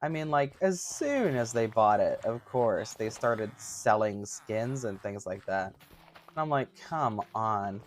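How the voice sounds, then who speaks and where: A man in his thirties with a somewhat high-pitched voice, chatting casually with livestream viewers in a giggly, chatty, self-mocking way. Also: expressive, slow. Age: 30s. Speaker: streamer.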